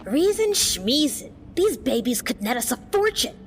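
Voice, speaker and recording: A woman playing an excitable, mischievous, greedy young adventurer in an audio drama, playful and cheeky, with reverb. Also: high-pitched, a little slow, a little hiss or hum, full character voice. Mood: gleeful, greedy. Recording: long reverb, music behind.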